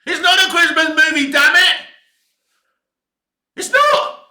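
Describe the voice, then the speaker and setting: A man's voice: very high voice, lively intonation, takes it slowly, pushed and bright. A British man in his fifties giving a casual, opinionated rant as a film vlogger, emphatic and exasperated, with mild swearing and repeated points. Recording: echoey, reverberant room.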